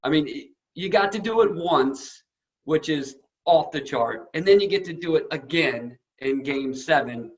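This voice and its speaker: An American man with a fairly high voice hosts a casual interview in an effusive, admiring way. The audio is somewhat dull and lo-fi. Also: animated, measured pace. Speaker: interview host.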